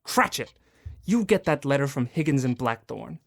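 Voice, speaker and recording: A man's voice: medium pitch, very animated, moderate pace, creaky. Snarling old miser, played by a man, scornful and cold, voice swinging wide in a radio play. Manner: snarling, scornful, barking orders. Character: miserly bitter old male moneylender. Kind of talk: student radio drama acting.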